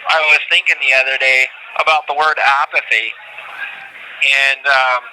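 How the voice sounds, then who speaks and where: A man talking earnestly and haltingly from prison over a noisy phone line, repeating words as he makes his case. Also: medium pitch, fairly even intonation, bright, forceful tone. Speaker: prisoner being interviewed by phone. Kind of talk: earnest, unscripted phone interview talk. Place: prison, on a telephone call. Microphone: telephone.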